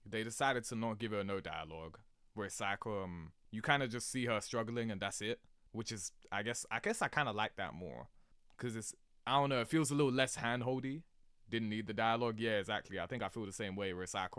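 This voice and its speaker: A man giving casual, expressive reaction commentary in informal slang as a video host. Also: fairly low pitch, clean tone, no echo, studio quality.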